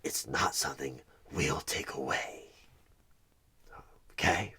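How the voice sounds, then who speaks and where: A man playing a sinister, coaxing evil recruiter who bargains for souls in a creepy ASMR horror roleplay, husky and half-whispered, with deliberate dramatic pauses. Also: very deep voice, sharp tone, exaggerated voice. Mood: persuasive, menacing. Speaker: audio roleplay performer.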